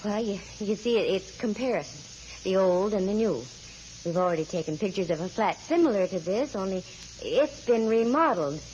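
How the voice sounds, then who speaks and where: An American actress playing a wisecracking, bossy female private eye in a brisk, bantering 1940s radio style. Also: mid-range voice, animated, darkish tone, natural voice. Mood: improvising, hesitant. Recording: dull, hissy 1940s radio recording.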